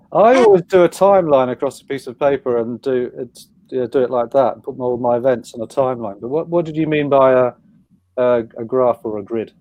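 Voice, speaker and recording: A man's voice: mid-range voice, fairly quick, no room sound, highs cut off. A man moderating a casual panel, putting questions in a conversational, slightly hesitant way. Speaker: panel host.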